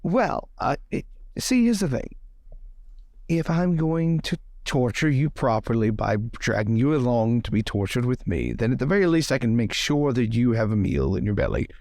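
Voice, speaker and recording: A man's voice: mid-range voice, lively intonation, normal speed, a little mellow, dry recording, clean studio sound, natural voice. A man voicing a chatty, generous lord with a courtly, fussy, wry manner in a tabletop adventure.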